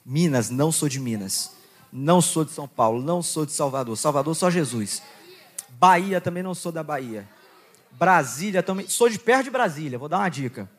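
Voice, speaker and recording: A young man with a Goiás accent preaching to a youth conference, joking with the crowd, reading scripture and praying in a quieter, lower voice. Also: lively intonation, normal speed. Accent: Goiás Brazilian Portuguese. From Goiás, Brazil. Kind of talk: sermon with Bible reading and prayer.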